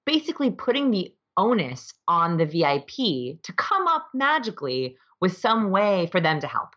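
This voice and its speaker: A woman's voice: lowish voice, sing-song, moderate pace, a bit bright, lo-fi sound. A woman giving conversational, explanatory interview answers as an expert guest, with emphatic delivery. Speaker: interview guest, author and behavior researcher.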